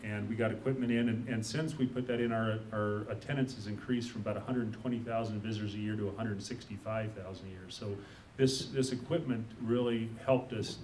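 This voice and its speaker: A man speaking on a conference panel, telling his business story in a halting, unscripted way with many fillers and restarts. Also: steady tone, a little mellow. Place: conference room. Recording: distant mic, faint rumble.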